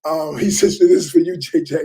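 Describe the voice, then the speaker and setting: Husky, high-voiced man chatting casually. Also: steady tone, brisk, mellow. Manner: laid-back, repeats phrases, many fillers. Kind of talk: casual chat.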